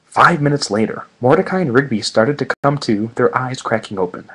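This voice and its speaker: A man's voice: medium pitch, expressive, a little fast, fairly bright tone, breathy edge. A man doing a comedic reading of fan fiction in a mock-serious manner with sarcastic asides.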